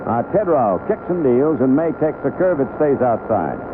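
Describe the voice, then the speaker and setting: A man giving unhurried, measured live play-by-play of a baseball game as a radio announcer. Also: mid-range voice, sing-song. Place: ballpark broadcast booth. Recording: noisy narrowband 1970s AM radio broadcast.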